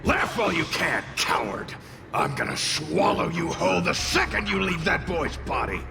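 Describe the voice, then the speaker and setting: A man voicing a small hot-tempered fire spirit in an anime game, gruff, shouty and exasperated, over music. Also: fairly high pitch, very animated, moderate pace, heavily acted. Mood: angry, panicked.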